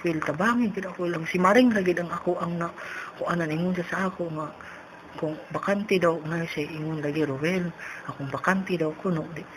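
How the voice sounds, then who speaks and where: A woman voicing a forgetful elderly neighbour with a Cebuano accent, halting and repetitive on a prank phone call. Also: on the low side, lightly acted. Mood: rambling, coaxing. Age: older. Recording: noisy phone-call recording.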